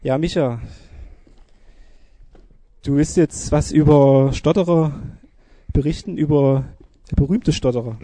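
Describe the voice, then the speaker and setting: Very dark, mellow-voiced male radio presenter. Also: on the high side, unhurried, pauses often, no room sound. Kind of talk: informative spoken presentation on a radio show.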